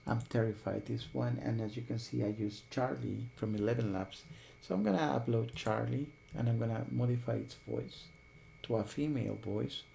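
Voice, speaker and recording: A man hosting a software tutorial, explaining each step while thinking aloud. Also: mid-range voice, fairly even intonation, normal speed, gravelly, slight background noise. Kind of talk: screen-recorded tutorial.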